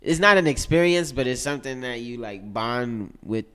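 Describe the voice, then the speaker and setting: A man in his late twenties with a smooth, clear voice, speaking earnestly on a casual podcast. He muses reflectively and adds quick words of agreement while his co-host talks. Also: mid-range voice, expressive, bright, forceful tone. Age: late 20s. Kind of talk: casual chat.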